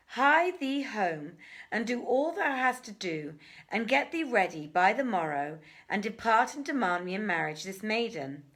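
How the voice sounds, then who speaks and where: A woman puts on an imperious storybook king, eager and grandly formal. The voice is emphatic and ornate, with big swings in pitch. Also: fairly bright tone, heavily acted.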